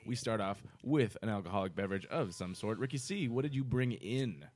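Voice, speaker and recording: A man's voice: lowish voice, animated, a little fast, darkish tone. A man hosting a casual, boozy podcast, chatting loosely and swearing freely. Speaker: podcast host.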